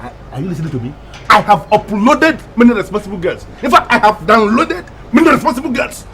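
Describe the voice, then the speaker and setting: A man's voice: high-pitched, sing-song, brisk, slight background noise. An actor playing a pompous, fussy, indignant man in a comedic drama, with a Nigerian accent and a wordy, repetitive way of arguing. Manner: pompous, wordy, repeats himself with synonyms. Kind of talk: comedic film drama acting.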